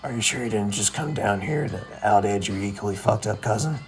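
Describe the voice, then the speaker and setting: A man voicing a blunt, sarcastic, unimpressed young friend in an animated comedy-drama, with casual, sardonic delivery. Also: fairly low pitch, fairly quick, faint music behind, lightly acted.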